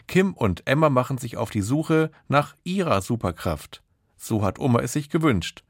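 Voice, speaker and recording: A man's voice: mid-range voice, sing-song, normal speed, breathy edge, dry recording, studio quality. A man presenting a scripted radio introduction to a story.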